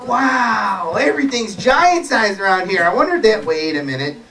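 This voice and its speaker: A storyteller playing an excitable, innocent young boy in a children's tale, recorded at a distance with noise. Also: medium pitch, moderate pace. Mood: amazed. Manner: wide-eyed, exclamatory. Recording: noisy, distant microphone.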